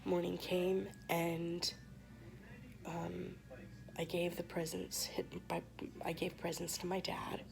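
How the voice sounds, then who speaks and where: A woman vlogger losing her voice to hoarseness, telling a personal story to camera in a soft, halting way with many pauses. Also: on the low side, level tone.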